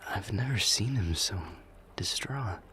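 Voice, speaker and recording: Deep, slow-spoken courteous warrior king played by a man. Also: expressive, fairly bright tone, husky, exaggerated voice. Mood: pensive, uneasy. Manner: formal, polite, hesitant. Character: courteous, reflective warrior king commanding a fleet. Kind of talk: ASMR audio roleplay acting.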